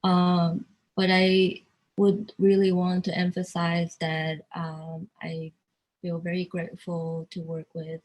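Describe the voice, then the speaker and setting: Slow, halting woman from South Korea. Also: on the low side, completely flat delivery, darkish tone, low-quality recording. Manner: halting, searching for words. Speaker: artist. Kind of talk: virtual artist talk.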